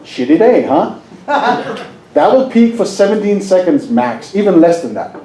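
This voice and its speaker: A man's voice: high voice, big pitch swings, a little slow, dark tone, reverberant, slight background noise. A man from Denmark with a Danish accent, presenting a live hypnosis seminar and joking with the audience. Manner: jokey, asks the audience questions.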